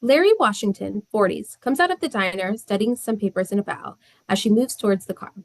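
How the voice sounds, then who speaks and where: A woman's voice: medium pitch, expressive, brisk, gravelly, no echo. A woman narrating the stage directions of a screenplay at a table read.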